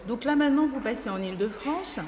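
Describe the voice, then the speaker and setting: Lively woman moderator in an echoey hall. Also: a little high, quick pace, a crowd in the background. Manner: guiding, lively, rephrases her questions. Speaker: moderator, interviewer. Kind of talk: event moderating and interviewing. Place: large event hall. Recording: echoey hall, faint room noise.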